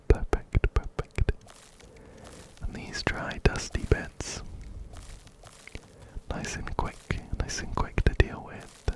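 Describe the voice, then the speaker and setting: A man whispering softly and breathily into a close binaural microphone, giving gentle ASMR game commentary and often repeating words rhythmically. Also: rough recording. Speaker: ASMR gaming video host. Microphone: close-up binaural microphone, ear to ear.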